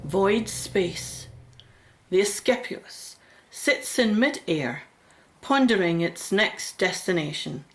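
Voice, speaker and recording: A woman's voice: medium pitch, animated, slow, low-quality recording. A woman narrating a radio drama from a script, in the role of storyteller.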